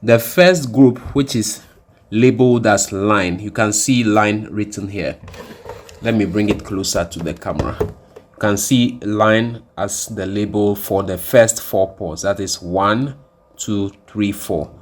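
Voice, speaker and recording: A man presenting an instructional hardware demonstration in a deliberate, explanatory way, often rephrasing his points. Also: on the low side. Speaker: tutorial presenter.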